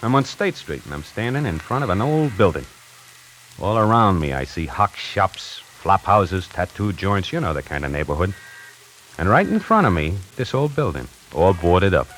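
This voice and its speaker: A man's voice: low voice, big pitch swings, normal speed, fairly bright tone, slight background noise, poor audio. An actor playing a wisecracking necktie salesman who narrates his own story in a 1940s radio drama, hardboiled and slangy. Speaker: narrator and lead character. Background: music.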